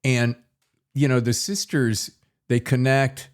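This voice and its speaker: A man's voice: medium pitch, steady tone, takes it slowly, smooth. A man chatting casually as the host of an interview podcast.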